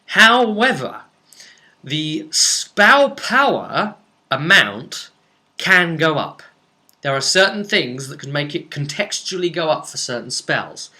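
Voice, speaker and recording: A man's voice: on the high side, big pitch swings, slow pace, sharp tone. A man giving a casual, unscripted tabletop rules explanation, chatty and emphatic, thinking aloud and checking in with the listener. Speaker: tabletop game explainer answering a subscriber.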